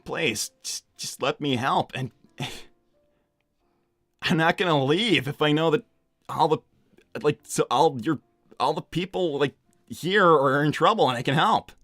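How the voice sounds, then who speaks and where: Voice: medium pitch, takes it slowly, pauses often, a bit bright, no echo. An earnest young male hedgehog hero, acted out by a live roleplayer, pleading in loose, stammering speech. He is stubborn and insistent, in a close, dry recording with faint music behind. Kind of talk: improvised live character roleplay.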